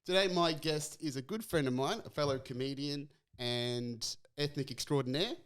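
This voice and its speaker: A young Australian man hosting a casual podcast chat, relaxed and chatty, laughing easily. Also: medium pitch, moderate pace. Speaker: podcast host.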